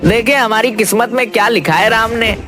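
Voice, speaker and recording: A man playing a high-pitched, fretful poor woodcutter. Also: fairly quick, music in the background, natural voice. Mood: resigned. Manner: folksy, repeats a pet oath, plaintive. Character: poor, fearful village woodcutter, folksy and fretful.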